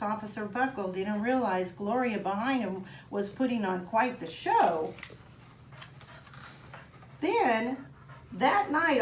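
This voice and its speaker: Woman, storybook read-aloud, unhurried delivery, expressive, child-directed, chatty asides, roomy sound with faint background noise. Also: on the high side. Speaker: police chief reading a picture book aloud.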